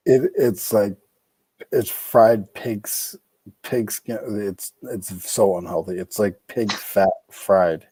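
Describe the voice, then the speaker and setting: Mellow-voiced chatty man. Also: fairly low pitch, fairly even intonation, slow pace, husky, no room sound. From New England. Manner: chatty, jokey, trails off mid-sentence. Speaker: podcast co-host. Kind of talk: casual chat while listing items.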